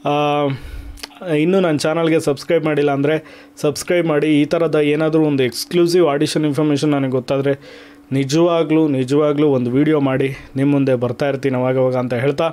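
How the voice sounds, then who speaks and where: A man's voice: a little high, little pitch movement, fairly quick, dark tone. A man from Karnataka hosting an online video, explaining things to viewers step by step in a casual, conversational way.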